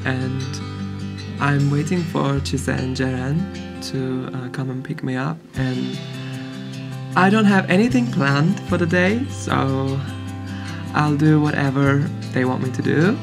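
A man in his twenties chatting casually into a handheld camera in a student flat, giggly and self-mocking. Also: mid-range voice, slow pace, gravelly. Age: 20s. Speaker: vlogger. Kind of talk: casual vlog chat. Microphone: handheld action camera. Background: background music.

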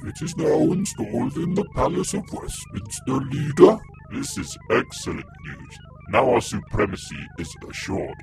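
A man voicing a cold, commanding male Cyberman leader with a robotic filter, speaking in flat, menacing orders. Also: extremely high pitch, moderate pace, faint music behind, heavily acted. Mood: triumphant. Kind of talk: fan audio drama.

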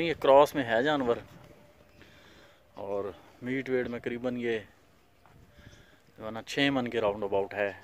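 A man's voice: mid-range voice, a little hiss or hum. Farm owner, male, casual outdoor vlog walkthrough, plain and repetitive delivery, mid-range voice. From Pakistan. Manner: plain, repetitive pointing out of each animal's features. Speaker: cattle farm owner showing animals for sale. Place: outdoor dusty farmyard.

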